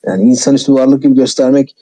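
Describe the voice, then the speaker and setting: A man's voice: medium pitch, fairly even intonation, fast talker, dark tone, rough recording. A man giving blunt, argumentative film criticism in a casual, colloquial conversation. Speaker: film commentator, video maker.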